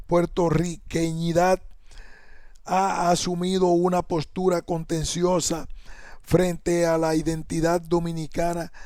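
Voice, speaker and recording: A man reading an academic essay aloud in a measured, even delivery, sometimes correcting himself. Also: a little high, dry recording, studio quality. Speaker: essay reader.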